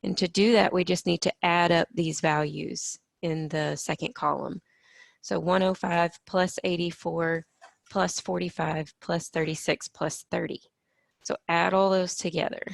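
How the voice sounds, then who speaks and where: Woman, math teacher, slightly hoarse, gravelly, dry recording, patient explaining. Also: lowish voice, fairly even intonation, unhurried, dry recording. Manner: patient, step-by-step explaining with frequent "okay" and "so".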